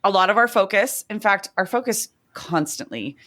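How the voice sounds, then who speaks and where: A woman co-hosting a teaching podcast, chatty and rambling, her voice slightly hoarse from the end of the school year. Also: mid-range voice, moderate pace. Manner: chatty, animated, rambling with fillers. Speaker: podcast co-host. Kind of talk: casual chat.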